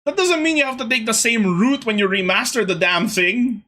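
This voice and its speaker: A young man hosting a casual gaming podcast, talking to his chat in an animated, exasperated way. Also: very high-pitched, normal speed. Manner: animated, exasperated ranting with laughs. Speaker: podcast host.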